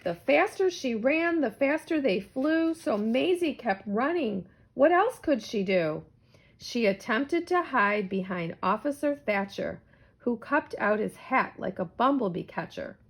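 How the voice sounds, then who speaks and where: A woman reading a rhyming children's story aloud in an animated, theatrical storytelling manner. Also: a little high, unhurried, husky. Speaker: storybook reader.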